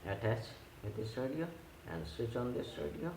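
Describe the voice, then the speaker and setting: A man who speaks English as a second language, giving hands-on repair instructions in a halting, simple step-by-step way. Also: medium pitch, big pitch swings. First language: not English. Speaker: repair tutorial host. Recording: echoey room with clear background noise.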